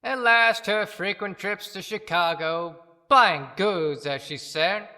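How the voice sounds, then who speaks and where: A woman's voice: medium pitch, slow pace, sharp tone. A woman voicing a resentful, overlooked small-town husband in a theatrical audio play monologue, with long reverb.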